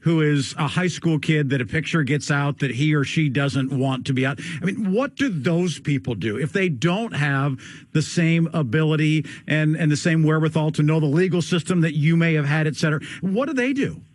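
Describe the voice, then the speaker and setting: A man's voice: a little high, moderate pace, no room sound, studio quality. A man hosting a radio interview, conversational and asking follow-up questions. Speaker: radio host.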